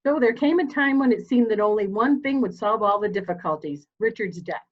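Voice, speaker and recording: A steely, dignified elderly mother played by a woman speaks with formal, deliberate gravity in a murder mystery drama. Her middle-pitched voice is commanding and controlled, captured in slightly dull, lo-fi audio. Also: expressive, lightly acted. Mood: sorrowful, candid.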